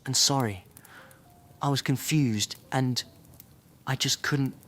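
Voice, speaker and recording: Man acting a defiant, sarcastic reformed dark wizard. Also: mid-range voice, takes it slowly, soft background music. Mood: confused, apologetic. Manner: sarcastic, pleading, insistent. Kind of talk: fan audio drama acting.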